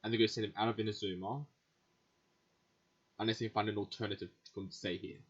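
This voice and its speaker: A woman with a husky voice giving casual, offhand let's play commentary in a low-key way. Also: fairly even intonation, quick pace. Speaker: gaming YouTuber. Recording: dull home mic over game music.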